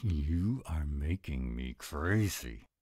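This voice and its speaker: A man playing a gruff, grumbling tsundere were-dragon who is secretly soft, in an audio roleplay addressed to the listener. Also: extremely low pitch, animated, slow pace, dry recording, studio quality, exaggerated voice. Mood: reluctant, exasperated. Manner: grumbling, exasperated, softening into boyish eagerness.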